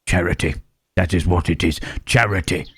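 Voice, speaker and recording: A man voicing a gentle, self-loathing Frankenstein's monster in an ASMR roleplay, speaking in halting, formal phrases with a husky, rough voice. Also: low voice, steady tone, a little hiss or hum. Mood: pained, confused. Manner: halting, formal phrasing with searching tag questions. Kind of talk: slow ASMR roleplay monologue.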